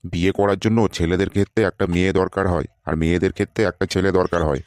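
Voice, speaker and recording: A deep-voiced, sarcastic boss from a comedy cartoon, acted out by a man. He talks fast and dismissively, like an interviewer out of patience. The track carries clear noise. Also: steady tone. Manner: scolding, dismissive, exasperated. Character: irritable, sarcastic male boss interviewing job seekers.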